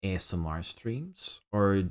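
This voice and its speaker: A man with a low voice hosts a relaxed podcast conversation. He is polite and hesitant, feeling his way through each sentence in stops and starts. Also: takes it slowly. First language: Dutch. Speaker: podcast host. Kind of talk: casual podcast interview chat.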